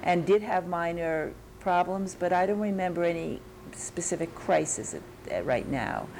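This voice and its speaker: An older American woman with a New York accent, recalling her teaching years in an oral history interview, pausing and restarting as she searches her memory. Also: lowish voice, expressive, vocal fry, a little mellow, a little hiss or hum. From United States.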